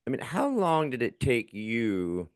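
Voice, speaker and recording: Lively-voiced male podcast host. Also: mid-range voice, a little slow, smooth. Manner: rambling, stacks several questions together. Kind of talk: casual interview questions.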